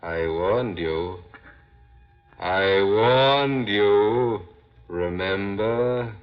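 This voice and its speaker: Vengeful male phantom, low-pitched, drawn-out taunting delivery, menacing, 1940s radio horror. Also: clear, resonant tone. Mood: taunting menace. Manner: slow, ominous, taunting. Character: vengeful dead man returned as a taunting, menacing ghost. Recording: dull 1940s radio recording with music.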